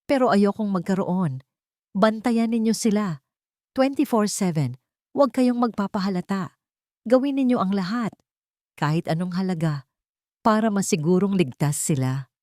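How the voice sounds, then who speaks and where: A woman's voice: fairly low pitch, moderate pace, darkish tone, no echo, studio quality, lightly acted. A woman voicing a commanding, protective wealthy male boss in a story, speaking firmly. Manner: firm, full of command.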